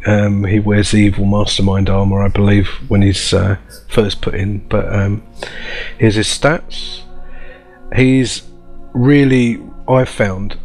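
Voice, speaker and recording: A man hosting a casual showcase of game mods in a chatty, informal way over background music. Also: lowish voice. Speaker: video host.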